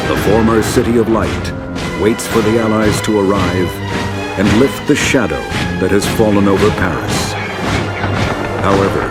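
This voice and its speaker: A man's voice: lowish voice, lightly acted. A man narrating wartime briefings in a solemn, dramatic, scripted documentary manner. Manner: solemn, dramatic, measured delivery. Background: loud music.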